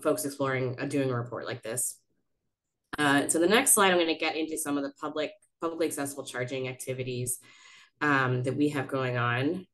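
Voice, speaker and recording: A woman's voice: low voice, fairly bright tone. Froggy-voiced woman presenting on a webinar. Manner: conversational, many fillers. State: hoarse from a cold. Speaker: city electric vehicle planner presenting. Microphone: video call.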